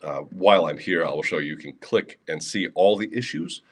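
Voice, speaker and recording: A man's voice: low-pitched, expressive, brisk, breathy edge. A man with a Midwestern American accent leading a live online training for teachers, walking them through library resources in a casual, practical way with frequent fillers and dry asides. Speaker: school librarian presenting to teachers. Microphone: computer mic on a live video session.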